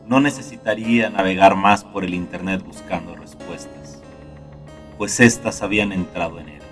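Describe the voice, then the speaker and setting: Low-voiced man narrating dark horror. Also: distant mic. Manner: dark, gloomy, dramatic. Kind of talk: scripted horror story narration. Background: soft guitar music.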